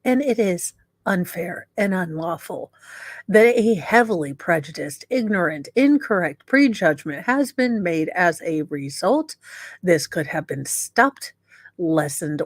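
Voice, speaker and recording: A woman's voice: lowish voice, expressive, unhurried, no echo. A woman host doing a dramatic reading of letters, with sardonic, mocking asides.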